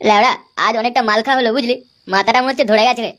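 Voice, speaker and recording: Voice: medium pitch, fairly even intonation, racing, a bit bright, close to an everyday voice. A performer voicing a cocky, foul-mouthed young village lad in a comic cartoon, brash and boastful.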